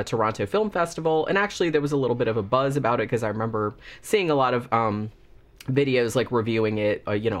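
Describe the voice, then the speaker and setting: A man's voice: mid-range voice, fairly bright tone. A man hosting a casual movie review, talking through a cold with a slightly congested voice in a rambling, chatty way full of fillers.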